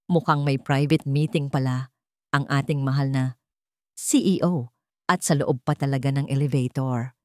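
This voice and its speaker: A woman's voice: a bass voice, fairly even intonation, moderate pace, long pauses, a little mellow, dry recording, clean studio sound. A woman voicing a scheming male executive with a smug, mocking manner.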